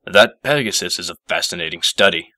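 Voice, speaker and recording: A man playing a gruff, pompous older male professor who speaks formally and gravely. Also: deep voice, animated, fairly quick, sharp tone, no room sound.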